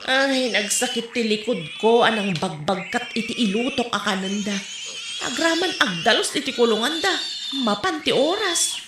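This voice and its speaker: A woman with an Ilocano accent playing an aggrieved, quick-tempered young villager in a comedy audio drama. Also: on the high side, expressive, background noise, lightly acted. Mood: weary, complaining. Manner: emphatic, complaining. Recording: roomy echo.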